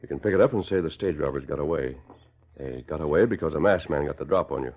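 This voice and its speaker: An actor playing a calm, fair-minded masked Western hero with an American accent, speaking with terse authority in 1940s radio style. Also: male voice, extremely low pitch, quick pace, slightly rough. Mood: calm, assured. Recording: muffled 1940s radio recording.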